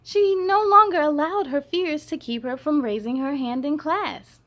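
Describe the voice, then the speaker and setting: A woman with a high voice hosts story time for children. She speaks expressively, as if straight to young listeners, with an encouraging manner. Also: a little slow, a bit bright. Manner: encouraging, addresses children directly. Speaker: children's storytime host and reader. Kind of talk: expressive read-aloud narration for young children.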